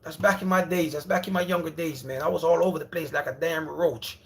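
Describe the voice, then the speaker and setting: A man's voice: on the high side, fairly even intonation, a little fast. A man from Jamaica, in his thirties, rambling casually at home as he hosts a livestream and calls his viewers brother. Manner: loose, rambling, repeats himself, calls out to viewers as brother and bro. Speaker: livestream host.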